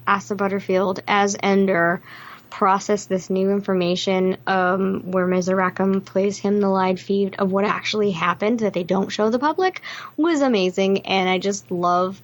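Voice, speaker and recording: A woman's voice: medium pitch, fairly even intonation, normal speed. A woman co-hosting a podcast, discussing a film casually and with enthusiasm. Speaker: podcast co-host.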